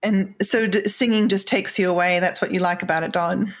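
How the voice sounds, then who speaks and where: A woman hosting a podcast interview, encouraging her guest and quick to agree with her. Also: medium pitch, fairly even intonation. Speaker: podcast host.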